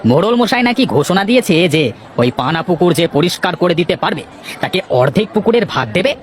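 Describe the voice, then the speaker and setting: A man's voice: sits high, very animated, a little fast. A man voicing a cynical, gossiping villager in a cartoon, chatty and scoffing. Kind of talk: Bengali cartoon voice acting.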